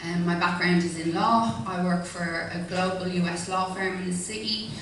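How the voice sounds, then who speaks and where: A woman's voice: lowish voice, steady tone, normal speed, a bit bright. A woman in her thirties with a Dublin Irish accent, speaking informally and hesitantly, with many ums, as a candidate at a hustings. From Ireland. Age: 30s. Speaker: independent candidate. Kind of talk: speech at a hustings. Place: public hustings hall. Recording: echoey hall from far off with faint noise.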